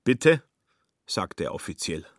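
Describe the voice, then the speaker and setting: A man narrating a literary radio play with a husky voice and a wry, dry storytelling manner. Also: low voice, sing-song, slow, a bit bright, no room sound, heavily acted.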